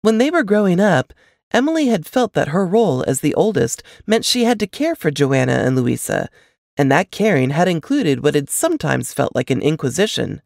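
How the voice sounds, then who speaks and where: A woman's voice: low voice, vocal fry, a little mellow, no room sound, clean studio sound. A woman reading a novel aloud as scripted story narration.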